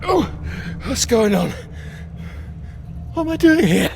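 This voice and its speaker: A man's voice: very high-pitched, big pitch swings, slow pace, gravelly, faint music behind, exaggerated voice. A man playing a frightened, agitated character in a video game, exclaiming in panic. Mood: terrified. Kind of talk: theatrical video game acting.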